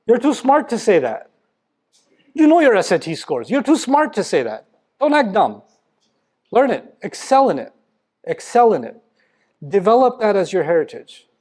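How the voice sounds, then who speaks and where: A man's voice: high voice, wildly swooping pitch, moderate pace, pauses often, a little mellow. A man giving a motivational religious lecture, emphatic and blunt, firing rhetorical questions and teasing his listeners. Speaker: Islamic lecturer.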